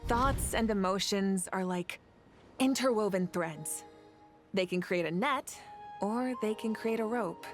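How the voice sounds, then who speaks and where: Woman playing a solemn, mid-pitched game sage. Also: a little slow, soft background music, close to an everyday voice. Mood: solemn, wise. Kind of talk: video game voice acting.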